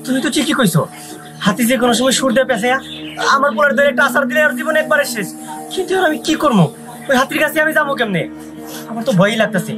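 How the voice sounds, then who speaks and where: A man playing an excitable, cowardly village father in a comic drama, flustered and repeating his phrases. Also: very high-pitched, very fast, music in the background. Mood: fearful.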